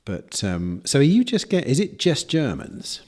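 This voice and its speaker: A man's voice: medium pitch, wildly swooping pitch, a little slow, darkish tone. A man hosting a casual podcast interview, asking questions hesitantly with many restarts. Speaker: podcast host.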